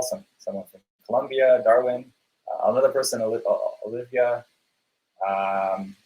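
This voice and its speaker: A man's voice: medium pitch, darkish tone, poor audio. A man hosting a live webinar interview, upbeat and loose, with many fillers and restarts. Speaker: webinar host.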